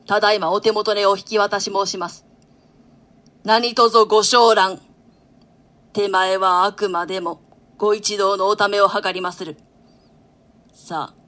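A woman's voice: mid-range voice, fairly even intonation, measured pace, long pauses, fairly bright tone, close to an everyday voice. A woman playing deferential, solemn courtiers in a classical stage play, using formal, humble, archaic courtly speech. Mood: urging, loyal.

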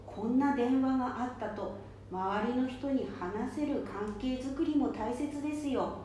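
A woman's voice: a little high, dark tone. A woman playing a patient, polite safety advisor who explains things step by step in an amateur radio drama. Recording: very echoey room, faint noise.

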